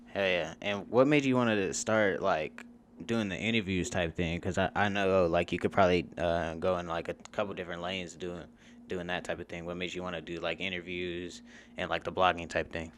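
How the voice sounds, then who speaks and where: A man's voice: deep voice, fairly even intonation, moderate pace, fairly bright tone, slight background noise. A man hosting a casual interview, asking questions in an easygoing, slangy way. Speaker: interview host.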